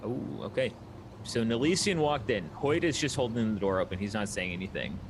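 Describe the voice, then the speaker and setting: A man's voice: fairly low pitch, fairly quick, background noise. A man narrating a tabletop roleplaying game as its game master, describing scenes and asking for checks.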